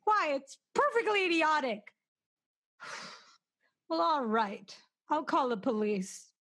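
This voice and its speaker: A woman's voice: sits high, big pitch swings, slow pace, pushed and bright, exaggerated voice. A woman acting in a suspense radio play as an imperious, frantic, self-pitying married woman, demanding and theatrical in manner. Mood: indignant. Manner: demanding, exasperated, insistent, theatrical.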